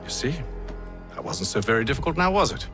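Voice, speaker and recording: A man's voice: medium pitch, very animated, quick pace, fairly bright tone, soft background music. A man playing a condescending, ruthless, urbane Templar master in a video game, sardonic and imperious. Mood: dry, dismissive. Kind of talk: dramatic game dialogue.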